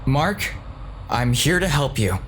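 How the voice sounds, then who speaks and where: Man as an earnest, animated young nerd. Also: medium pitch, slow, slight background noise. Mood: earnest, hushed. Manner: eager, explanatory, insistent. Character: earnest, nerdy young male ghost-hunter. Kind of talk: audio drama acting.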